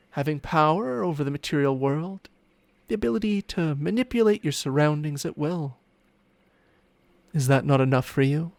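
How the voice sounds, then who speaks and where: A man's voice: fairly high pitch, creaky, dry recording. A man voicing a smug, seductive ancient dragon in humanlike form for an ASMR roleplay, teasing and haughty in formal words, with murmurs that slip into whispers. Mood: knowing, provocative. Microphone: close microphone, dry sound.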